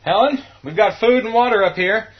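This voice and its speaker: A man's voice: high-pitched, fairly quick, lightly acted. An actor playing an earnest, mild teenage boy in a radio horror drama, with a plain, hesitant manner. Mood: urgent. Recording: dull lo-fi audio with music behind.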